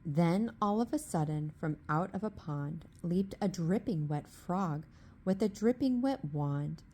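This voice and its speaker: A woman reading a rhyming bedtime story aloud in a calm, rhythmic ASMR style. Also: lowish voice, a little slow, husky.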